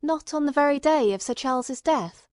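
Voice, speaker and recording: A woman voicing a persistent gentleman investigator in an audiobook, questioning formally, courteously and insistently. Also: sits high, lively intonation, normal speed, no room sound, clean studio sound, pitched above the performer's own voice. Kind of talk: dramatic audiobook character reading.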